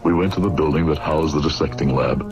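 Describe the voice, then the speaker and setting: An earnest, formal doctor in an old radio drama. His voice sits low and is delivered theatrically, with loud music underneath. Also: quick pace, lo-fi sound. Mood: rueful. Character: earnest young medical student, later a rueful narrator. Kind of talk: old-time radio drama narration and acting.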